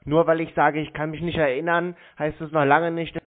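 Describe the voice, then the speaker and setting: A man's voice: on the high side, little pitch movement, moderate pace. A man taking a sales call, blunt and contrary in his replies. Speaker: call recipient baiting phone scammers. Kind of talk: phone conversation. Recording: tinny phone line.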